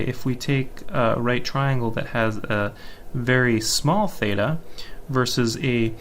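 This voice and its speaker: A man's voice: medium pitch, a bit bright, a little hiss or hum. A man giving an informal explanatory lesson as an instructor, going step by step and often checking in with "okay".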